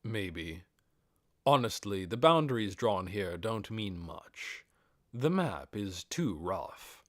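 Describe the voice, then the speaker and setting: A man voicing a commanding undead overlord in an audiobook, explaining things in a measured, deliberate way. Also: fairly low pitch, sing-song, no room sound, clean studio sound. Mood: serious, explaining.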